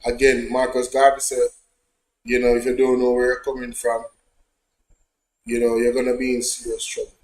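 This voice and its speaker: A Jamaican man giving animated reaction commentary on a news clip. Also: mid-range voice, moderate pace, long pauses, echoey room, low-quality recording. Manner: animated, preachy asides. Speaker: online commentator.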